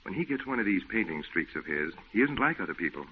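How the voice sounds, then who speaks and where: A man's voice: deep voice, big pitch swings. An actor playing a genial, breezy office man and loyal friend in a 1940s radio drama. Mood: friendly. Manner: brisk, friendly, conversational. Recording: muffled, hissy 1940s radio recording.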